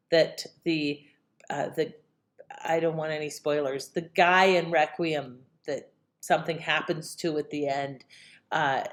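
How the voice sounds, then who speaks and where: A woman casually introducing an old radio episode, conversational with some hesitations. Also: low voice. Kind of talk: casual commentary.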